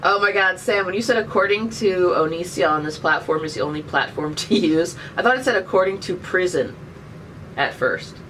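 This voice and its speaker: A woman's voice: fairly low pitch, lively intonation, moderate pace, a bit bright. A woman in her thirties chatting casually on a live stream and answering viewer questions with jokes. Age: 30s. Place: indoors by a window. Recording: distant webcam mic, faint background noise.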